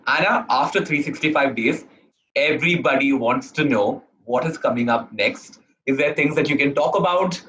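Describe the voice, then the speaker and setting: A man from India hosting a casual interview, eager and chatty, quick to affirm and pile on follow-up questions. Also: medium pitch, sharp tone. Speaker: interview host. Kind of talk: casual interview over video call. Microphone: video call. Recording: dull lo-fi video call audio.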